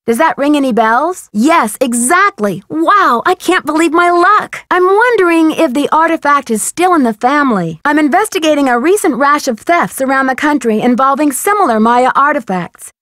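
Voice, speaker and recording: A young female sleuth in a mystery video game. Her voice is high and dry, close with no room around it. She speaks politely and earnestly, phrasing everything clearly, with an inquisitive temper. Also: a bit bright, no room sound. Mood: amazed. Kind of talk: scripted video game dialogue.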